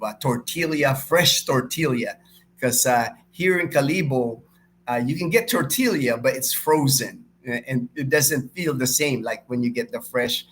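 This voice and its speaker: A man's voice: medium pitch, fairly bright tone, a little hiss or hum. A middle-aged man from the Philippines hosting a live stream, chatting casually and informally with viewers and often repeating himself. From Philippines, born and raised in Manila until twelve.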